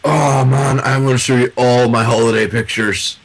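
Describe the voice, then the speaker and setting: A man's voice: mid-range voice, steady tone, normal speed. A man who works in a call center, chatting casually and telling stories from his job. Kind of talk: casual podcast chat.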